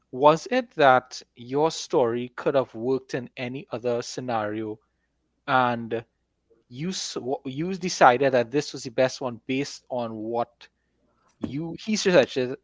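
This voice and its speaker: A man speaking as an author and podcast co-host in a conversational, measured, explanatory way. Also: medium pitch, no echo. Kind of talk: conversational interview discussion. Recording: dry, slightly dull microphone sound.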